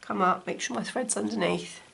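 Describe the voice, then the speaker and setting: Croaky woman chatting through a craft demo. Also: mid-range voice, normal speed, fairly bright tone. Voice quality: slightly gravelly. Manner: chatty, talks while stitching. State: croaky from a cold. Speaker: textile crafts teacher. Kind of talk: casual craft tutorial. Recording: faint appliance hum behind. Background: dishwasher running.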